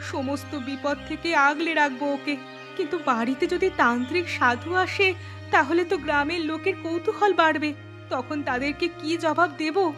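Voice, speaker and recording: A woman's voice: high-pitched, normal speed, music in the background, close to an everyday voice. A woman voicing an anxious, earnest village female in a horror cartoon. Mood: worried. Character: anxious village mother; also her troubled teenage daughter.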